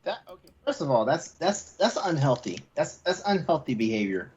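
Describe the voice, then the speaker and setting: A woman's voice: sits low, sing-song, normal speed, a little mellow, slightly rough. A woman co-hosting a podcast, chatting casually with blunt, exclamatory reactions on a lo-fi microphone. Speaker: podcast co-host.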